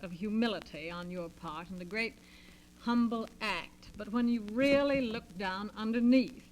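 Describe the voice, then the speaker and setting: A woman in a 1940s radio discussion, speaking in a halting, measured, dryly self-deprecating way. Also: mid-range voice. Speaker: guest debater. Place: radio studio, around a table. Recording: noisy vintage transcription disc with hum.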